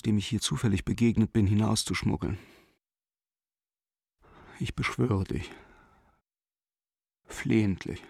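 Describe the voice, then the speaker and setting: A frightened man begging for mercy in a radio drama letter. His voice is husky and creaky, recorded close and dry. He speaks haltingly and imploringly, sometimes sinking into a whisper. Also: lowish voice, a little mellow, no echo, exaggerated voice. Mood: desperate, imploring. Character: desperate frightened German man pleading by letter with old friend.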